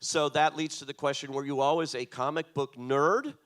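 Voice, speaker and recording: A man's voice: on the high side, steady tone, moderate pace, a bit bright. A man interviewing a guest on a conference stage, asking questions in a friendly, enthusiastic way. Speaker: interviewer, film critic.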